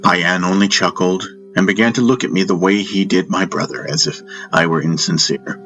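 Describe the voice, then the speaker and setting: A man narrating a fantasy audiobook in the first person, in a measured, dramatic storytelling manner. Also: low-pitched, steady tone, a bit bright, soft background music, rough recording.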